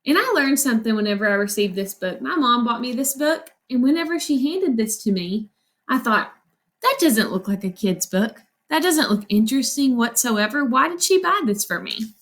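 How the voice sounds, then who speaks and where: High-voiced woman teaching a children's read-aloud. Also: lively intonation, normal speed, fairly bright tone. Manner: instructive, asks questions and answers them. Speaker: elementary school teacher. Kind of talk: read-aloud lesson for children, reading and explaining.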